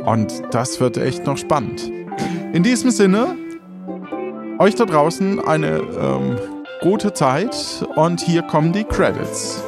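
A man hosting an audio play as game master, talking casually and informally with halting phrasing. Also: a little high, sing-song. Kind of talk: casual podcast talk and narration. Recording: music behind the voice.

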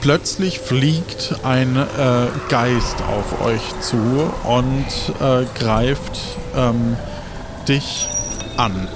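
A man improvising narration as game master of a tabletop game, halting and searching for words. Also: medium pitch, background noise.

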